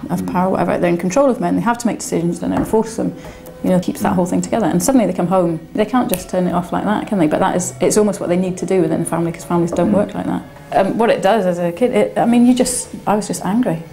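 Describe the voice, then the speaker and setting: Fast-talking gravelly woman interviewee. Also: fairly low pitch, mellow, faint music behind. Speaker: interviewee, Navy child. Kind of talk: documentary interview.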